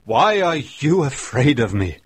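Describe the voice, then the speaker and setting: A man voicing a stern, defiant old male minister on his deathbed, speaking in loud, accusing outbursts. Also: medium pitch, very animated, measured pace, husky, no echo, voice pushed up, full character voice.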